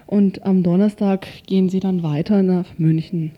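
A woman working as a radio reporter, translating and commenting informally in halting, improvised phrasing. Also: lowish voice, steady tone. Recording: dull, lo-fi radio recording.

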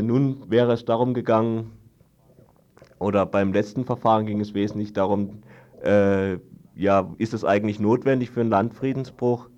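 A man giving an unscripted radio report, explaining things haltingly in long-winded sentences. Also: on the low side, a little mellow, lo-fi sound. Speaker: guest reporting on a court trial.

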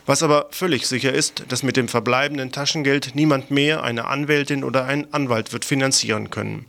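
A man's voice: mid-range voice, steady tone, a little fast, gravelly, fairly bright tone. A man presenting a scripted radio news report in a matter-of-fact, critical, faintly sardonic way.

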